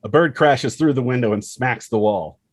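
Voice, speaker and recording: A man's voice: medium pitch, normal speed, no room sound, clean studio sound. A man reading stage directions as the narrator of a screenplay table read.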